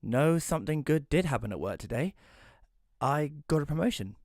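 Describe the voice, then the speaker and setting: A man playing a hesitant magazine writer who tells his own story in an audio drama, in a conversational, slightly halting way. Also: fairly high pitch, animated, vocal fry, darkish tone, no room sound, clean studio sound. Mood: sheepish. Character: Rory, male magazine writer, hesitant, self-doubting narrator. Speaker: narrator and lead character. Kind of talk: first-person audio drama narration with dialogue.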